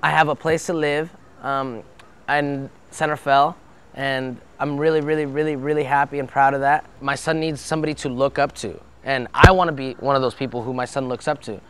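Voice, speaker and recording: A man's voice: mid-range voice, fairly even intonation, brisk, a bit bright, clean tone. A young man from Brazil, speaking earnestly in a documentary interview and often stopping to restart his sentences.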